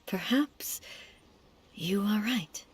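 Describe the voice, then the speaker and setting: A woman voicing a composed, solemn and considerate female ruler in a video game, measured and restrained. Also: medium pitch. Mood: soft, accepting. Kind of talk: scripted game dialogue acting. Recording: music behind the voice.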